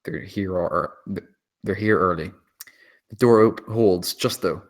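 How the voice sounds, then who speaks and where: A man's voice: low voice, takes it slowly. A man reading out stage directions as scripted screenplay narration at a table read.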